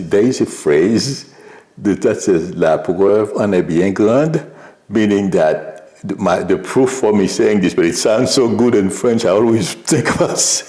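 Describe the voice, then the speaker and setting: A man's voice: sits low, gravelly. A man from Haiti whose first language is Creole, giving rambling commentary on a livestream as a psychic. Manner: rambling, restarts often, soft chuckles. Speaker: psychic streamer.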